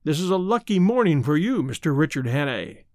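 A man voicing a calm old villain who speaks with smooth assurance. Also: on the high side, a little fast, dry recording, studio quality. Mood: calm menace. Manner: silky, assured. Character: old male villain, calm, smiling, menacing.

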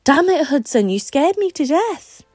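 A woman's voice: high voice, very animated, measured pace, darkish tone, no echo, soft background music, voice pushed up. A woman voicing a blunt, teasing, bossy female co-worker in an audiobook story, speaking frankly and emphatically. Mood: startled, scolding.

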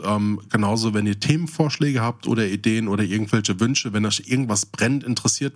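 A man co-hosting a podcast in casual, teasing banter with his partner. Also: fairly low pitch. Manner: teasing, joking. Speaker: podcast co-host.

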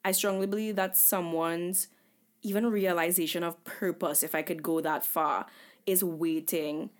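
A woman's voice: lowish voice, fairly even intonation, unhurried. A woman co-hosting a podcast in casual chat, earnest and reflective. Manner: earnest, reflective, wraps up the episode. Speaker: podcast co-host.

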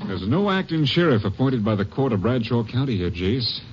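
A seasoned Ranger captain, played by a man in an old radio drama, blunt and brisk, with a lively, up-and-down delivery. The sound is muffled vintage broadcast audio with faint hiss. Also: medium pitch, close to an everyday voice. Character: gruff male Ranger captain, seasoned and direct. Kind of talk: 1950s radio drama acting.

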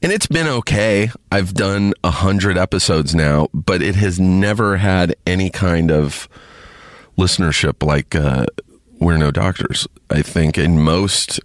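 A man hosting a podcast alone, chatting casually to his listeners in a halting, rambling way with lots of fillers, a bit sniffly from congestion. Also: deep voice, dry recording, studio quality. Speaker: podcast host. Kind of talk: casual solo chat to listeners.

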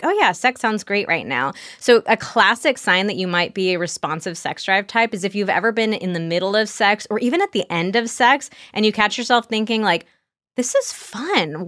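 A woman's voice: medium pitch, a bit bright, no room sound. Articulate woman explaining, dry close sound. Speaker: podcast guest, sex therapist. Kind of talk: conversational expert explanation.

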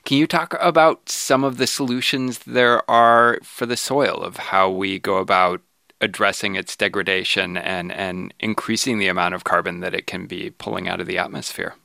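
A man's voice: sits low, fairly even intonation, moderate pace, creaky, bright, forceful tone, no room sound, clean studio sound. A man hosting a science podcast, asking questions and narrating in a conversational style.

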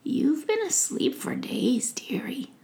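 Woman playing a kindly squeaky old witch. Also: sing-song, a little slow, gravelly, darkish tone, heavily acted. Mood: tender.

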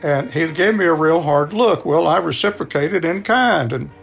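Older texas guy spinning a folksy yarn, gravelly and kinda high for a man, music under him. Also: expressive, moderate pace. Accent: Texan. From Texas, United States. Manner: folksy, acts out dialogue. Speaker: storyteller, radio show contributor. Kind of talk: personal anecdote storytelling.

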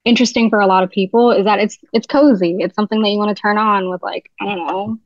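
A woman's voice: medium pitch, steady tone, fast, dry recording, highs cut off. Young woman, podcast guest, from New Jersey, chatty, many "like"s. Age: young adult. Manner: chatty, heavy use of "like". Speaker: guest writer. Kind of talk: casual interview chat.

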